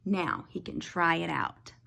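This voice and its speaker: Slow, animated woman reading to young children. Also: sits low, gravelly. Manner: expressive, explains words and pictures to young children. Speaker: teacher reading aloud to her class. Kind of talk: children's picture book read-aloud.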